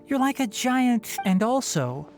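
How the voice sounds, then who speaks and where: Lively, clever young forest ranger. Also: male voice, very high voice, unhurried, soft background music, exaggerated voice. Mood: gently curious. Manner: matter-of-fact, instructive, precise. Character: youthful male forest ranger, brisk, clever, caring. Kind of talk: video game character dialogue.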